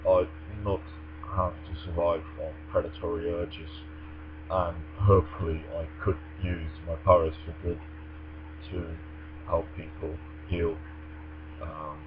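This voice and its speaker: A young man speaking English in an articulate, formal way, as if for a personal vlog. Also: deep voice. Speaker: vlogger. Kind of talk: reading aloud and casual answers to a tag challenge. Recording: dull lo-fi microphone with mains hum.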